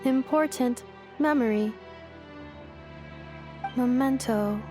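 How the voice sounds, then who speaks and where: Formal, high-voiced female robot. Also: slow, warm tone, faint music behind. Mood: formal, earnest, curious. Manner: formal, stilted, literal phrasing. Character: polite, literal-minded female robot with recovering memory. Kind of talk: video game voice acting.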